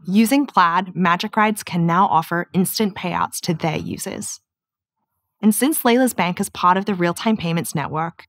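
A woman in her thirties from New Zealand with a softened accent, giving a clear, upbeat scripted keynote presentation. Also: lowish voice, no room sound, clean studio sound. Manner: clear, steady, upbeat delivery. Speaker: product manager presenting. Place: studio set with curtains.

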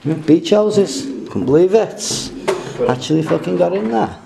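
A man talks slowly through a derelict house, his voice gravelly and his pitch swinging up and down as he jokes and swears. He is heard through a handheld camera mic, with plenty of noise around him. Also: lively intonation, reverberant. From England. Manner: jokey, rambling, swears casually. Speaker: urban explorer vlogger. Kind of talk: casual handheld exploring commentary. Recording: noisy camera audio.

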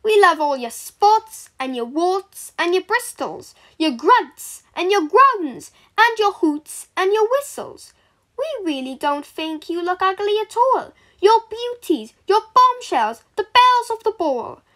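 A woman's voice: extremely high pitch, big pitch swings, slow pace, a bit bright, voice pushed up, full character voice. A woman voicing a chorus of small baby animals, in a sweet, sing-song rhyming way. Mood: adoring. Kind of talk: reading a rhyming picture book aloud.

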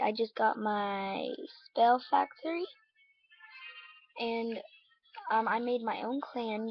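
A young woman talks over her game in a hoarse, slightly high voice. She rambles and corrects herself as she goes. The sound is dull and muffled, as if from a laptop mic in a dim room at home. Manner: rambling, self-correcting, lots of ums and likes. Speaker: let's play gamer. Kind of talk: casual gameplay commentary. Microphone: laptop webcam.

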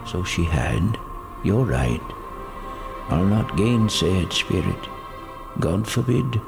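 An actor playing an elderly miser, shaken and remorseful, with a gravelly, halting voice in an audio drama. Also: male voice, sits low, big pitch swings, exaggerated voice. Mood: subdued, remorseful. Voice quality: gravelly, creaky. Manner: halting, trembling, regretful. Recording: music behind the voice.

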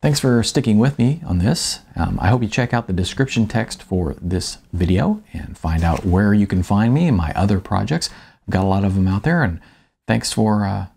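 Gravelly middle-aged American man reading aloud. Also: fairly low pitch, darkish tone. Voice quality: gravelly, slightly husky. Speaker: author reading his audiobook. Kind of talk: first-person audiobook narration with casual asides. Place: small indoor room, seated at a table.

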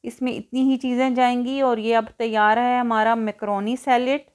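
High-voiced woman narrating a recipe. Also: flat delivery, moderate pace, a little mellow. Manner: instructional, step-by-step. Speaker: cooking show host. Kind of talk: recipe tutorial voice-over.

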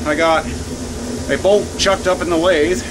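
A man's voice: fairly high pitch, steady tone, a little slow. A man casually narrating his own workshop project in an offhand, folksy way, thinking aloud while he works the machines. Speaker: home machinist filming his shop. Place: workshop with lathe and drill press, indoors. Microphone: camera mic near the machines. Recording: loud machine and heater noise. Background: loud running lathe and drill press.

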